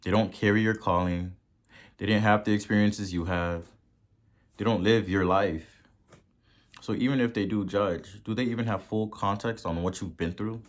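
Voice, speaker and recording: A man with a Haitian accent hosting a podcast and giving a motivational talk, preachy, repeating words for emphasis and swelling to loud peaks. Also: on the low side, fairly even intonation, normal speed. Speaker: podcast host.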